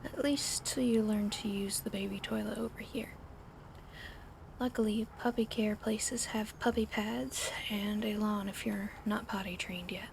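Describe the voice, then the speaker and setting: A woman speaking in a soft, coddling ASMR whisper, gentle and soothing. Her voice has a gravelly, fried texture at a middle pitch, and a steady fan hum sits clearly behind her. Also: a little slow. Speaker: ASMR roleplay narrator. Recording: audible fan hum in background.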